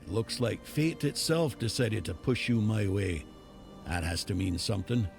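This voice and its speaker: Gruff fantasy game chieftain type, deep and really croaky, grave delivery, music behind. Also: male voice, animated, moderate pace, darkish tone. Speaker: video game character. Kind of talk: dramatic fantasy game dialogue. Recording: game dialogue over clear music.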